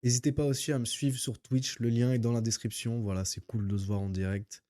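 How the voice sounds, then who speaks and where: Male storyteller, casual and wry, mid pitch, dry close sound. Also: little pitch movement, a little fast, dark tone, dry recording. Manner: playful, mock-admiring commentary. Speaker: YouTube storyteller.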